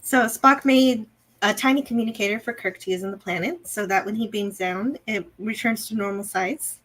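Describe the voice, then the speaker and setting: A woman's voice: medium pitch, moderate pace, fairly bright tone, low-quality recording. A woman co-hosting a review show, talking through an episode casually in an analytical, explanatory way. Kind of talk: casual episode recap and discussion.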